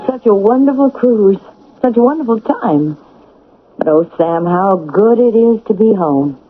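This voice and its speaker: An actress playing an anxious, insistent, sharp-witted wife in a radio drama, with a husky voice. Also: female voice, mid-range voice, animated, unhurried, slight background noise, close to an everyday voice. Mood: bright, insistent. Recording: narrow, muffled old radio broadcast.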